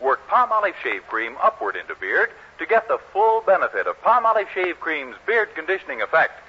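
An American man delivers scripted announcements in 1940s radio style. His fairly high voice swings with animation, over a slightly noisy old recording. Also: very animated, a little fast, muffled recording. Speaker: announcer and narrator.

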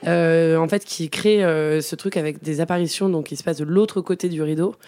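A woman co-hosting a casual film podcast, often pausing and searching for words with many fillers. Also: low voice, steady tone, mellow, no room sound. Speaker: podcast co-host.

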